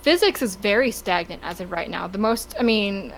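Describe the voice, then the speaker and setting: Halting woman podcaster over noisy background. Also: medium pitch, animated. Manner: halting, stop-start, searching for words. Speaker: podcast co-host. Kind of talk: casual opinionated discussion.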